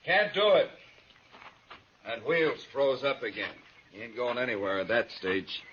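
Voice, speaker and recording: An actor playing a plainspoken, reluctant stagecoach driver in a 1950s radio western, with a folksy manner. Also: male voice, mid-range voice, lively intonation, a little slow, a bit bright. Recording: dull, faintly noisy radio recording.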